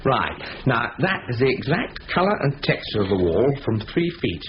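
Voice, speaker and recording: A man's voice: medium pitch, sing-song, normal speed, lightly acted. An actor in a 1970s radio drama playing a patient ringleader who briefs his thieves in short, clipped instructions. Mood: encouraging. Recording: muffled old radio broadcast with hiss.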